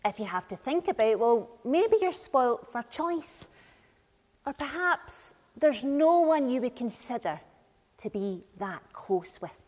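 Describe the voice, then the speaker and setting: Woman, thirties, conversational sermon, slow phrases with pauses, reflective, church reverb. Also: a little high, very animated, darkish tone. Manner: reflective, pausing between phrases. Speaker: minister leading the service. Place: large church interior, at the lectern.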